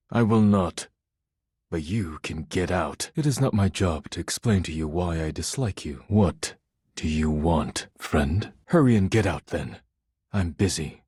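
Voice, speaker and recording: A proud, earnest video game character with a low, very breathy and husky voice. He speaks formally, choosing his words with measured precision. The sound is close and dry. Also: darkish tone, dry recording, exaggerated voice. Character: formal, proud, earnest man devoted to his work and art.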